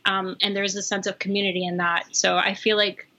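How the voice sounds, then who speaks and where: A woman guest chatting casually and warmly, with lots of fillers and easy laughter. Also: mid-range voice, little pitch movement, fairly quick. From New York. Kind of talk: casual conversation.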